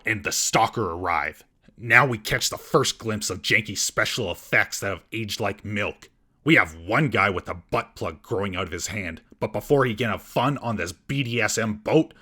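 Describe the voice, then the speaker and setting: Casting: male comedy reviewer, mocking delivery, lowish and raspy, reading a scripted recap. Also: bright, forceful tone. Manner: sarcastic, mocking. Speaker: comedic film reviewer and host.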